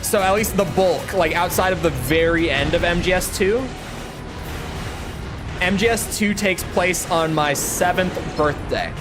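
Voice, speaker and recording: A man in his early twenties chats casually while streaming a video game. His voice sits slightly high, and his talk rambles, full of jokes at his own expense. Game music plays clearly in the background. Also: unhurried. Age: early 20s. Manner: rambling, joking and self-mocking. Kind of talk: casual chat while gaming.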